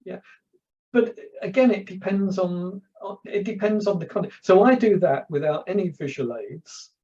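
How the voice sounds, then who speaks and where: London man telling stories, mellow tone. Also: high-pitched, animated, normal speed, highs cut off. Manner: anecdotal, repeats words when starting. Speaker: guest, public speaking coach. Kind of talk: casual interview chat.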